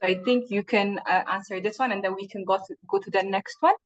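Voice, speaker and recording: A woman's voice: medium pitch, fairly even intonation, fairly quick, no room sound, highs cut off. A woman hosting a webinar, handing over to speakers casually with many fillers on a video call. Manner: casual, filler-heavy handovers. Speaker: webinar host. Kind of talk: webinar moderation.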